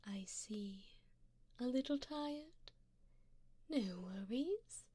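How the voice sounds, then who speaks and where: A woman voicing a doting, seductive, wealthy vampire in an intimate ASMR roleplay, speaking in a breathy near-whisper close to the mic with tender, genteel endearments. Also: on the high side, animated, drawn-out words, warm tone, no echo, heavily acted. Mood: doting, fond. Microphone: close binaural microphone.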